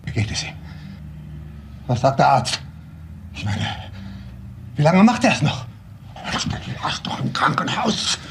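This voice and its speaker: A man's voice: fairly high pitch, big pitch swings, unhurried, pauses often. An actor playing a blunt, impatient, shady older father who presses people with questions in a crime drama. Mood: impatient, probing. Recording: clearly noisy old drama soundtrack.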